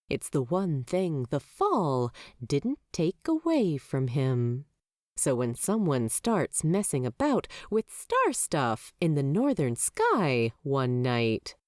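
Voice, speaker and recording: A woman's voice: no echo, studio quality. A woman reading fan fiction aloud as a podfic narrator, telling the story expressively. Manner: expressive storytelling with wide swings of pitch.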